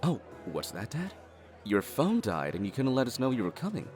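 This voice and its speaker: An actor playing a wry, easygoing elder brother in an audio drama, speaking with dry sarcasm. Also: male voice, medium pitch, huge pitch swings, moderate pace, music in the background. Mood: sarcastic. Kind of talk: naturalistic audio drama acting.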